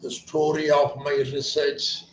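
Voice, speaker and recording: A man speaks as a doctor, slow and deliberate, with a fairly high voice for a man. He is earnest and emphatic, stressing his points and turning them into questions, and the room around him sounds echoey. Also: monotone, a little hiss or hum. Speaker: ENT doctor treating voice disorders. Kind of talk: explanatory talk about a clinic's treatment.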